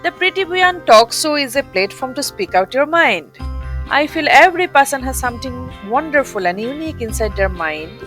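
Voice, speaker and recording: A woman's voice: sits high, expressive, normal speed, a bit bright, soft background music. A woman from India hosting a radio talk show from a studio, with an upbeat scripted intro. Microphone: studio mic on stand.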